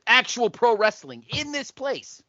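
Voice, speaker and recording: A man playing a pushy, crude wrestling schemer who pitches emphatically like a salesman in a comedic audio play. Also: big pitch swings, a little slow, pushed and bright, dry recording, muffled recording, full character voice. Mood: insistent, relishing.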